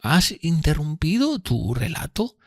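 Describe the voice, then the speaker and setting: A man voicing a frail, remorseful elderly gentlewoman in an audiobook, speaking slowly in a hesitant, timid murmur. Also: high-pitched, very animated, creaky, dry recording, clean studio sound, pitched above the performer's own voice, exaggerated voice. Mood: fearful, weary. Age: older. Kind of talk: audiobook character reading.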